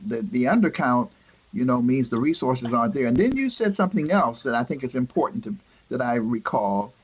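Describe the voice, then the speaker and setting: A middle-aged man with a husky voice hosts an interview over muffled, lo-fi audio. He hesitates often and restarts his sentences as he works toward a question. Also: mid-range voice, very animated. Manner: halting, searching for words, many restarts. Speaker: host.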